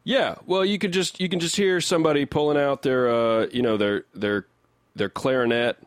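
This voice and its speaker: A man co-hosting a casual comedy music podcast, riffing haltingly and repeating his words as he goes. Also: mid-range voice, steady tone, dry recording. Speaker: podcast co-host.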